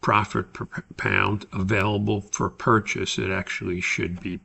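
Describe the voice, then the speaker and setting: A man giving an unscripted, step-by-step tutorial as an instructor, explaining in a halting, methodical way. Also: fairly low pitch, flat delivery, vocal fry, pushed and bright, breathy edge. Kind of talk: screen-recorded spreadsheet tutorial, unscripted explanation.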